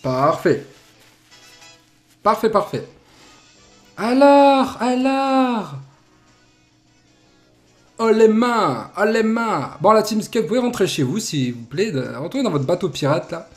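Young male streamer, lively pitch, jokey, a bit congested. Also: takes it slowly, long pauses, faint music behind. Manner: playful, jokey, animated. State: has a cold. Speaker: Let's Play host. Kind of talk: casual gameplay commentary. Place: indoor room at desk.

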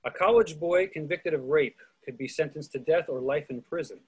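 A man's voice: fairly high pitch, normal speed, a little mellow, slightly rough, low-quality recording. A man reading stage directions from a script in an online play reading over a video call.

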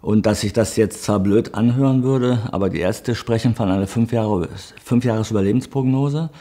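Man in his 50s, low mellow husky voice, matter-of-fact testimony. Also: moderate pace. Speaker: cancer patient. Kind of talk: personal testimony.

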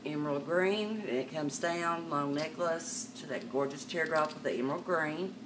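Older woman host, low voice, chatty live-sale patter, webcam a bit far off with room noise. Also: normal speed. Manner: chatty, calls viewers darling and miss. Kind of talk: live sales stream, chatting to viewers. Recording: distant mic with background noise.

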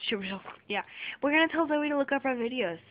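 A young girl chatting playfully in a bedroom, filming on a handheld camera, with silly drawn-out words. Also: high voice, animated. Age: child. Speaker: vlogger. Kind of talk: goofy sleepover vlog chat. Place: small bedroom at home. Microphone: handheld camera mic. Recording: muffled lo-fi camera audio with faint hiss.

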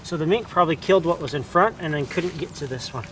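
A man's voice: fairly high pitch, quick pace. Male, 30s, field ratter; casual commentary and dog commands, chest-cam audio with wind and dog noise behind. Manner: calls out commands to dogs, praises them warmly. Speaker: pest control ratter with dogs. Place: outdoor dirt pens on a farm. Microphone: chest-mounted body camera. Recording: noisy outdoor camera audio. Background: dogs and outdoor ambience.

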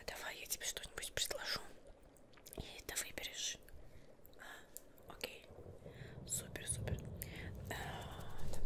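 Whispering witch tailor played by a woman. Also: lightly acted. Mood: fussing, helpful. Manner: hesitant, muttering, repeating words to herself. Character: busy, chatty female witch tailor, proud and slightly scatterbrained. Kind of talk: Russian ASMR roleplay, whispered. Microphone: close microphone.

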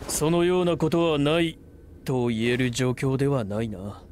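An actor playing a measured, authoritative senior federation officer in a game cutscene. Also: male voice, mid-range voice, a little mellow, slight background noise, natural voice.

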